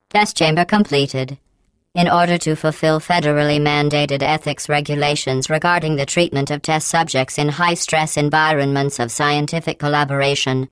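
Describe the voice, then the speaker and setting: A woman voicing a cold, passive-aggressive female AI that runs a test facility in a video game, speaking in a deadpan, mock-polite monotone through synthetic robotic processing. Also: deep voice, clean tone, no room sound.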